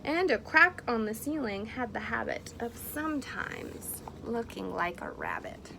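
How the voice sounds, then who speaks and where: A woman reads a picture book to young children as their teacher, at a very unhurried pace and in a slightly high voice. She is expressive and encouraging, and clear noise runs behind her. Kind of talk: interactive picture-book read-aloud. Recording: clearly noisy background.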